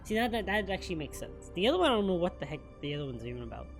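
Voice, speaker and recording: A woman streams a game with chatty, informal commentary. She speaks quickly in a fairly low voice, rambling along while game music plays clearly behind her. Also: sing-song. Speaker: gaming streamer. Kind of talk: casual playthrough commentary.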